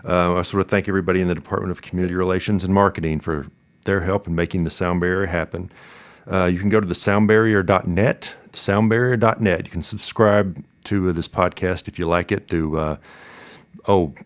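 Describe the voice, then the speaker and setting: A man hosting a podcast in a casual, easygoing, somewhat halting way. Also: deep voice, steady tone. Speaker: podcast host. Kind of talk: casual interview and sign-off.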